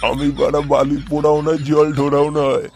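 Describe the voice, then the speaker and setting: Man voicing a gravelly, gruff old cartoon grandpa. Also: fairly high pitch, monotone, fairly quick, over music. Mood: boastful. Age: older. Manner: gruff, swearing, bossy. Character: crude, boastful old village grandpa back as a ghost. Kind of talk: cartoon comedy dialogue.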